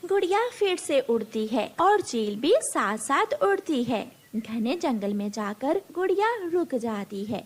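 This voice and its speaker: A woman's voice: sits high, very animated, measured pace, few pauses. A woman reading scripted narration for a children's animal cartoon story.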